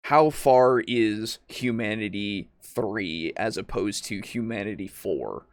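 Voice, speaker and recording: Male podcast co-host, slightly low pitch, dry recording, casual and hesitant, thinks out loud as he goes. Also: slow pace, no echo. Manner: rambling, hesitant, thinking aloud. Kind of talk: casual discussion.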